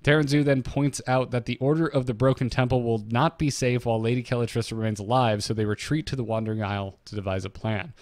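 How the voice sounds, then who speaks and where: Man, podcast co-host, medium pitch, dry close sound, casual story recap, jokey asides. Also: fairly even intonation, fast, hardly any pauses, darkish tone, no room sound. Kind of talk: notes-based game lore recap with casual banter.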